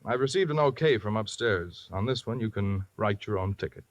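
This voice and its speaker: A man's voice: lowish voice, expressive, a little fast, no echo, natural voice. An actor playing an earnest, harried male insurance company manager in an old radio drama, pleading and exasperated. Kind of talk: 1950s radio drama acting.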